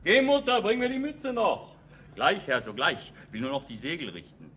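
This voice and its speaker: An actor playing an eager, deferential boatman in a classical radio drama, with a husky voice and an obliging, animated manner. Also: fairly high pitch, moderate pace, heavily acted.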